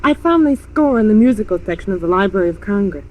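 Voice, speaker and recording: A woman's voice: medium pitch, lively intonation, fast talker, a little mellow, close to an everyday voice, low-quality recording. An actress playing a wistful, affectionate young woman musician in earnest 1950s radio style. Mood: bright, hopeful.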